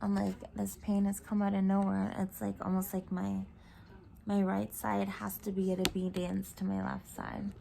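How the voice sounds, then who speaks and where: Croaky woman giving a spiritual reading. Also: medium pitch, monotone, moderate pace, warm tone, a little hiss or hum. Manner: meandering, reflective, frequent fillers and drifting into whispers. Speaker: tarot reader. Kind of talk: spiritual card reading monologue. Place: home room. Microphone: camera mic.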